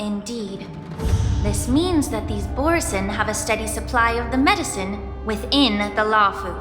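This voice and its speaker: A woman's voice: mid-range voice, moderate pace, lightly acted. A woman playing a poised, knowledgeable master alchemist in a fantasy game, explaining precisely, with echoey reverb on the recording. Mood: pensive. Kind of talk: scripted English game dialogue acting. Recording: echoey hall reverb over clear music.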